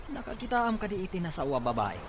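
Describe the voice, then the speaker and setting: A man's voice: high-pitched, very animated, very fast, background noise. A man playing a teasing, nosy buddy in an audio drama. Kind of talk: Ilocano audio drama acting.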